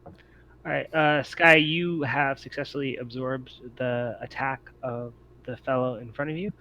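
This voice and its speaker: A man's voice: medium pitch, fairly even intonation, a little slow, sharp tone, lo-fi sound. A man acting as game master, explaining turns and rules of a tabletop roleplaying game in hesitant phrasing.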